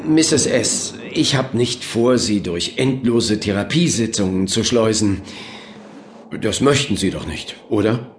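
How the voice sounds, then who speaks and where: A man's voice: fairly low pitch, animated, a little slow. An actor playing a patronizing, glib and dismissive male doctor in a German radio drama, with a breezy manner. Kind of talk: German audio drama acting. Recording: roomy echo, faint noise.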